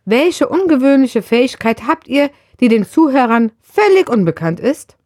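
A woman's voice: high voice, sing-song, moderate pace, darkish tone, dry recording, clean studio sound. A woman reading out scripted questions for a podcast. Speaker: question reader.

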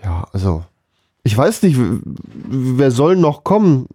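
A man's voice: medium pitch, sing-song, unhurried, mellow, dry recording, clean studio sound. A man chatting casually and jokingly as a podcast co-host. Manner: joking, playful. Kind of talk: casual comedic podcast banter.

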